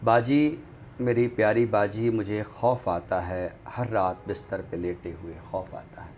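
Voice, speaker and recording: A man reciting poetry on a literary show in a deliberate, expressive way, returning to refrains. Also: lowish voice. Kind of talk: dramatic Urdu poetry recitation, then book talk. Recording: clearly noisy background ambience.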